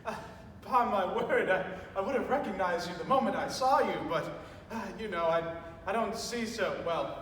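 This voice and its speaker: Man playing a halting, high-voiced elderly British general. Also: animated, fairly bright tone. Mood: astonished. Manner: halting, stammering, formal old-fashioned politeness. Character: flustered, courteous elderly British gentleman general. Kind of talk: student stage play acting. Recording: echoey hall, distant mic, faint rumbling noise.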